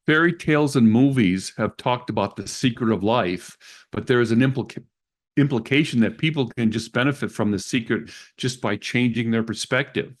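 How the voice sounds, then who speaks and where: A man co-hosting a radio show, explaining self-help and spiritual ideas in a measured way. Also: mid-range voice.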